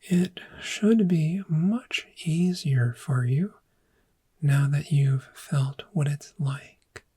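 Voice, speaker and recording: A woman playing a gentle, patient dragon therapist in a soft-spoken ASMR roleplay, with a breathy, whispery, measured and reassuring delivery. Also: deep voice, heavily acted. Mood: soothing, encouraging.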